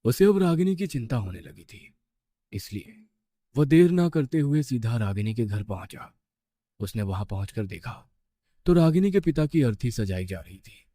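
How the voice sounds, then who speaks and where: A man's voice: medium pitch, very animated, moderate pace, warm tone, no echo. A man narrating a scripted horror story with suspenseful, dramatic phrasing.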